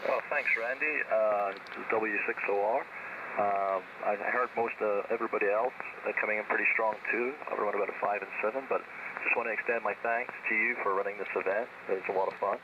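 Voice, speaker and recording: A low-voiced man talks quickly through a noisy shortwave connection. He is a ham operator giving a casual check-in and sign-off. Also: steady tone. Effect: shortwave ham radio transmission. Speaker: amateur radio operator checking in. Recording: dull, noisy ham radio signal.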